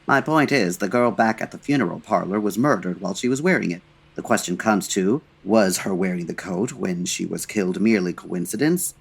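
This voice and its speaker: Casting: urbane radio sleuth, extremely deep voice, wry, measured, gentlemanly, 1940s style. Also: male voice, creaky, husky, full character voice. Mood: wry, probing. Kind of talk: old-time radio detective acting.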